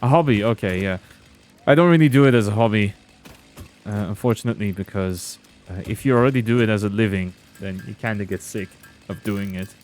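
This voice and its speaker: A young man from Belgium, streaming a game and chatting casually with his viewers in a relaxed way. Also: on the low side, fairly quick, faint music behind. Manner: relaxed, chatty, reading and answering chat. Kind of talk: casual gameplay commentary with chat.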